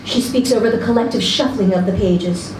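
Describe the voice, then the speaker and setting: A woman's voice: mid-range voice, fairly quick, darkish tone. A woman reading a literary short story aloud expressively at a live event. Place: indoor social venue, live reading event. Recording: echoey noisy room, distant event video mic.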